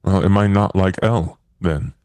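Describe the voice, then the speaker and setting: Man playing a very deep, brooding newborn vampire. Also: moderate pace, clean tone, no echo, lightly acted. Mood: somber, uncertain. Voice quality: very deep, dark. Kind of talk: in-character tabletop roleplay.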